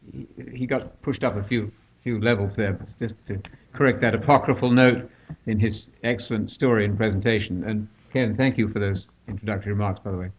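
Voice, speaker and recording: An older Englishman with a British accent speaks in a measured, unhurried way as he recounts his own path to faith, dropping in dry, self-deprecating asides. His mid-pitched voice comes through a somewhat dull, lo-fi recording. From England. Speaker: guest speaker. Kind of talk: personal testimony talk.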